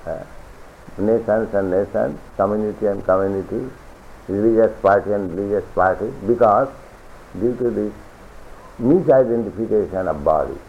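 A man giving a philosophical sermon as a spiritual teacher, lecturing deliberately, repeating phrases and reciting scripture. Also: on the low side, slight background noise. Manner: deliberate, repetitive lecturing with chanted scripture quotes. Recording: tinny lo-fi archival recording.

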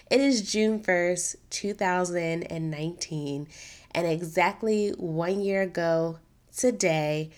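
A young adult woman hosting a solo podcast in a casual, chatty way, her voice a little raspy from allergies. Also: fairly low pitch. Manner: chatty, upbeat, informal slang. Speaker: podcast host.

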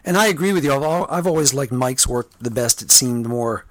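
A man with a medium-pitched voice, recorded dry and close. He speaks as a podcast host in a casual interview, chatty and affirming as he puts questions and comments to a guest. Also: expressive, fairly quick, no room sound, rough recording.

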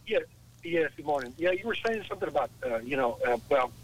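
An American man in his late sixties, calling a radio show, talking politics casually in a halting, repetitive, exasperated way over a telephone line. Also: on the high side, lively intonation. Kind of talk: casual political phone-in chat. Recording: noisy telephone call to radio.

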